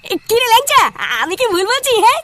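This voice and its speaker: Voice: extremely high pitch, lively intonation, fast talker, a bit bright. A performer voicing a cheeky, foul-mouthed, scheming young village troublemaker in a comic cartoon, brash and wheedling.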